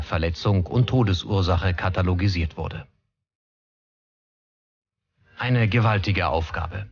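A man's voice: sits low, muffled recording. A man reading scripted narration for a documentary.

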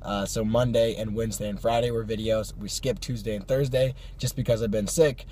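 A hoarse young man with a cold, chatting casually to camera from his parked car at night, with many fillers and small laughs. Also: lowish voice, steady tone, brisk, dark tone, a little hiss or hum. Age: young adult. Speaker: vlog host. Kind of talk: casual car vlog chat. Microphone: phone or camera on the dashboard.

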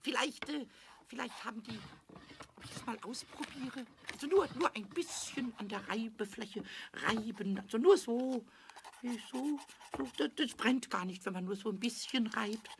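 A man voicing a small, mischievous kobold in a children's radio play, childlike and excitable, chattering to himself in sing-song with a scratchy squeak. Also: moderate pace, gravelly. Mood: sneaky, tempted.

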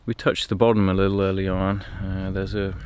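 A man casually narrating a sailing vlog from a boat, calmly explaining each step as he goes. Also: deep voice, clear, resonant tone. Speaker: sailing vlogger. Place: outdoors on a boat. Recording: outdoor noise from wind and engine.

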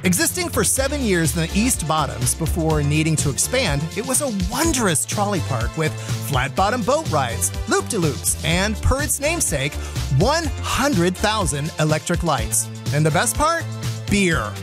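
A man's voice: sits high, sing-song, normal speed. Campy playful male travel host. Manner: campy, teasing, theatrical. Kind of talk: playful scripted banter. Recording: music under the voice.

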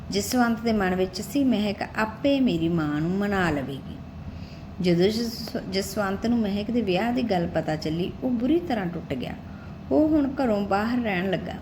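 A woman narrating a scripted story as an audiobook-style storyteller. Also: fairly high pitch. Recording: clearly noisy recording with background ambience.

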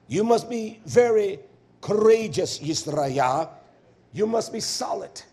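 Really high pitched guy preaching hard, stern fiery bible teacher, repeats words for punch, combative. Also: animated, slow, a little mellow. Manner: emphatic, repeating key words for force. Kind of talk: fiery sermon with verse-by-verse exposition.

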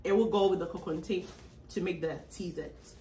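A young Ghanaian woman talks her way through a grocery haul in a relaxed, chatty vlog voice, peppered with ums. She sits across the table from the camera, so the sound carries a roomy echo and some distance, over a faint hiss. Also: fairly low pitch, normal speed. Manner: chatty, animated, many um fillers. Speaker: vlogger. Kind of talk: casual grocery haul chat. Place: small indoor room at a table.

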